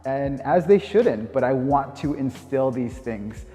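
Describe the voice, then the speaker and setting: A man in his thirties with a Canadian accent, speaking informally and reflectively as if answering interview questions, pausing and rephrasing as he goes. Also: medium pitch, animated, warm tone. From Winnipeg, Canada. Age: 30s. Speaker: dance teacher. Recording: long reverb, music underneath. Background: music.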